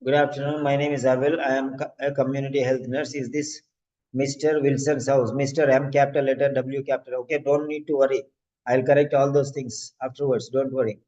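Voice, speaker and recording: A male nursing teacher running a role-play practice lesson, dictating and spelling out phrases slowly. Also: medium pitch, flat delivery, a little mellow, muffled recording.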